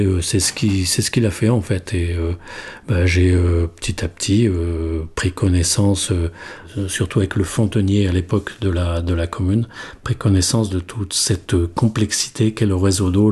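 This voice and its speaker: A middle-aged village mayor from Normandy, telling his story in a halting way with many pauses and restarts. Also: low-pitched, dark tone, husky. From Normandy, France. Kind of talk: interview testimony.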